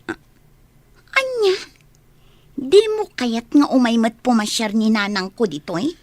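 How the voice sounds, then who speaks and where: Female actor as an aggrieved young woman standing up to her partner, highish, dry radio drama sound. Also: very animated, unhurried, a little mellow, dry recording. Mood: hurt, defiant.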